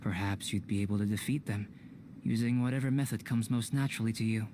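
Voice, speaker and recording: A man playing a calm young alchemist researcher in a video game, speaking in a measured, formal, scholarly way. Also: lowish voice, a little hiss or hum, lightly acted. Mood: detached, methodical. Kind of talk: scripted video game voice acting.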